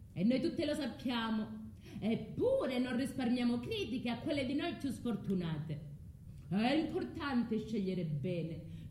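An actress with a southern Italian accent playing a proud, vengeful, scorned foreign wife in a declamatory radio drama monologue. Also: female voice, medium pitch, warm tone, roomy sound, a little hiss or hum.

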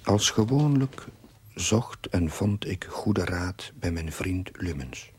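An actor playing an earnest village chaplain, narrating his diary in a measured, confessional way with a husky, breathy voice. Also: deep voice, lively intonation, noisy background. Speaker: narrating main character. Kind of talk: scripted diary narration in a TV period drama.

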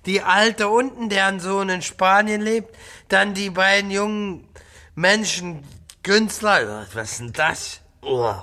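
An actor in a radio play as a drunken, cranky, coarse tenant who rambles and jeers. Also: male voice, sits high, takes it slowly, pushed and bright, full character voice. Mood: agitated. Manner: blunt, coarse, jeering, swinging between barking and muttering. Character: drunken, cranky, rambling jobless male tenant, newly widowed. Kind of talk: radio drama acting.

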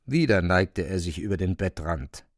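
A man narrating a literary radio play with a creaky voice. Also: low-pitched, animated, normal speed, no echo, studio quality.

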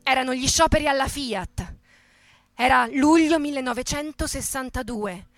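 A woman performs a scripted monologue as a dramatic stage reading. Her voice is high and forceful, with emphatic, declamatory phrasing, and it is recorded close and dry. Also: normal speed, no room sound, faint music behind. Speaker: stage actress and reader.

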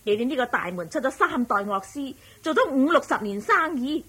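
A woman's voice: high-pitched, animated, a little fast, a bit bright, husky, exaggerated voice. A woman acting a pushy, chatty middle-aged auntie in a radio drama, insistent and exclamatory, with a Hong Kong Cantonese accent. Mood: bossy, cheerful.

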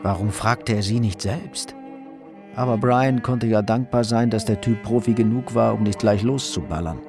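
A male narrator reads scripted crime drama narration. His voice is on the low side, and guitar music plays clearly behind him. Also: normal speed.

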